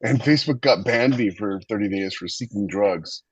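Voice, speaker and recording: A man's voice: lowish voice, moderate pace, slight background noise. A man co-hosting a podcast in a casual, conversational way, on a dull lo-fi recording. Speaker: podcast co-host and fiction writer. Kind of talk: casual panel chat.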